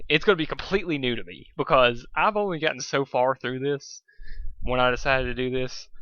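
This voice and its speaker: A man's voice: mid-range voice, pushed and bright, no room sound, dull audio. A man, an amateur reader who talks casually and stumbles in a self-deprecating way. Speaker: amateur fan fiction reader. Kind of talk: casual rambling introduction and informal story reading.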